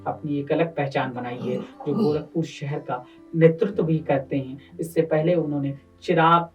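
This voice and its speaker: A man's voice: on the high side, steady tone, moderate pace. A man, reciting and introducing poetry as host in a declamatory way, repeating phrases for effect. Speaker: poet and host of poetry gathering. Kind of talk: Urdu-Hindi couplet recitation and formal introductions. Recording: distant microphone, music underneath.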